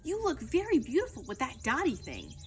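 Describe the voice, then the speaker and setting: Woman voicing an excitable, swooping little girl. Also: sits high, a little fast, pitched above the performer's own voice. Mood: proud, excited. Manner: childlike grammar, emphatic, exclaiming. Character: excitable little girl telling her own misadventures. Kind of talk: children's audiobook-style read-aloud.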